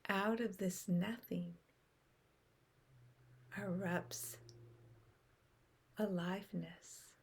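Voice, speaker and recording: A woman guiding a meditation in a soft, unhurried way with long pauses, her breathy voice often trailing off into a whisper. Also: medium pitch, steady tone, slight background noise. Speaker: meditation guide. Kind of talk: guided meditation.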